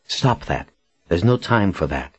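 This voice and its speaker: A man's voice: sits low, sing-song, a little slow, breathy edge, dry recording, exaggerated voice. A man voicing a resolute, reassuring woman leader in an audiobook, speaking firmly and earnestly.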